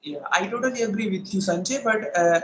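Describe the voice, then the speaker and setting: High-voiced young man, curious, echoey. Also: fairly bright tone, a little hiss or hum. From South Asia. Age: 20s. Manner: inquisitive, poses questions, quick bursts. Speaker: conversation partner. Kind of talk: casual conversation practice. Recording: echoey room recording.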